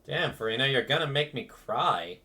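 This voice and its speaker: A man's voice: mid-range voice, expressive, normal speed, sharp tone. A man streaming a casual reaction to a game, speaking hesitantly with wry sympathy. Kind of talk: casual game reaction commentary, reading along.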